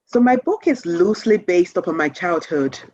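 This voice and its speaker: A woman's voice: lowish voice, expressive, moderate pace, darkish tone, no room sound, lo-fi sound. A woman from Nigeria, answering interview questions conversationally as an author.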